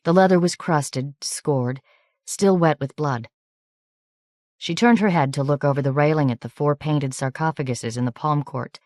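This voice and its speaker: A woman's voice: deep voice, no echo. Woman reading an audiobook, dry close sound. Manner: measured, even reading with light character shading. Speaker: audiobook narrator. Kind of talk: scripted narration.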